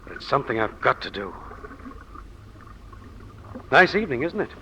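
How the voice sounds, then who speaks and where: A man's voice: mid-range voice, sing-song, moderate pace, pushed and bright. An actor playing a nervous but brave man facing death in an old-time radio drama, earnest, theatrical and apprehensive. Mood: resigned, somber. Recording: noisy old radio recording.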